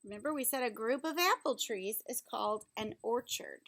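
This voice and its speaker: A woman reading a children's book aloud like a teacher, pausing to explain things simply and ask questions. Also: on the high side, a bit bright.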